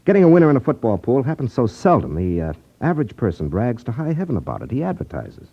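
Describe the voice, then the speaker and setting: An actor playing a shrewd, unflappable detective, wry and self-assured, in a 1950s radio drama. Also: male voice, mid-range voice, huge pitch swings, fast talker, husky, exaggerated voice. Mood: calm, assured. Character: shrewd, unflappable male detective, coolly needling a crook. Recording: muffled vintage 1950s radio recording.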